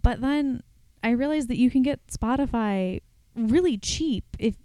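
A woman's voice: fairly high pitch, a little slow, dry recording. A young woman co-hosting a podcast, chatting casually with wry asides and rambling tangents. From Ohio. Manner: rambling, wry, breaks into sudden loud outbursts. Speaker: podcast co-host. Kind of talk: casual chat.

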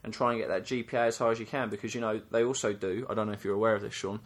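Low-voiced man playfully co-hosting. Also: rapid-fire. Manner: playful, teasing; explanatory, steady when giving advice. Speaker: youth soccer coach and podcast co-host. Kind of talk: casual advice chat.

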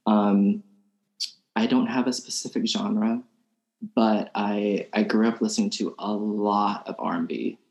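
A young man from Alabama, a podcast guest musician, talking in a soft-spoken, hesitant way with many ums. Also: fairly low pitch, fairly even intonation, measured pace. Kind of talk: casual interview chat. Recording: roomy echo.

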